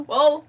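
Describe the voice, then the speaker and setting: A woman streamer giving casual gameplay commentary in a rambling, self-deprecating way. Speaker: gaming streamer. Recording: slightly distant mic, faint music behind.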